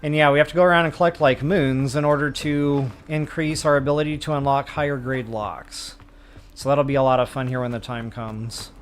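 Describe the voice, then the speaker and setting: A man's voice: mid-range voice, steady tone, a little fast, a little hiss or hum. A man giving casual, chatty walkthrough commentary as a gaming streamer, addressing viewers informally.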